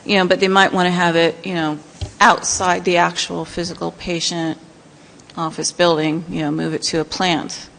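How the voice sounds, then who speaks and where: A soft-spoken woman speaking as a commissioner at a public meeting, hesitant with many fillers. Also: lowish voice, little pitch movement, normal speed, gravelly, long reverb tail, a little hiss or hum.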